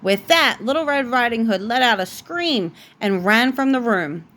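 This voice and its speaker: A woman's voice: a little high, expressive, moderate pace, fairly bright tone. Woman reading a bedtime storybook aloud.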